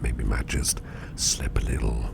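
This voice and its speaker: A man playing an attentive, easygoing businessman boss in a soft, breathy, whispery ASMR roleplay, unhurried and soothing, murmuring close to the microphone. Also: flat delivery, very noisy, full character voice. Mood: warm, indulgent. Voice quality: very low, gravelly, breathy near-whisper. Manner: unhurried, soothing, indulgent, musing aloud.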